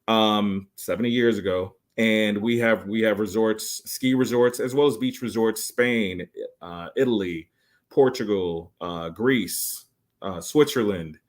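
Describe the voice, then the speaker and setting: Man, late 40s, lowish voice, podcast guest selling resorts, eager with lots of ums. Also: unhurried. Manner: enthusiastic salesy pitch with many fillers. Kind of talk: podcast interview.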